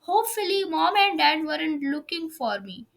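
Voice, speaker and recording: A woman's voice: high voice, very animated, moderate pace, gravelly, fairly bright tone. A woman doing an amateur read-aloud of a first-person story, now and then stumbling over the words. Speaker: fan fiction reader on own video channel.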